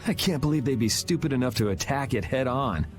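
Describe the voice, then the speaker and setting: A man's voice: mid-range voice, animated, brisk, faint music behind, lightly acted. An actor playing a grim, blunt young swordsman in a video game cutscene.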